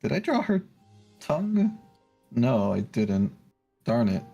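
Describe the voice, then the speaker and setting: A man's voice: medium pitch, sing-song, slow pace, creaky, mellow, breathy edge, muffled recording. A man streamer chatting casually and muttering to himself in a distracted way as he works. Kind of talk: casual chat while drawing on a livestream. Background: music.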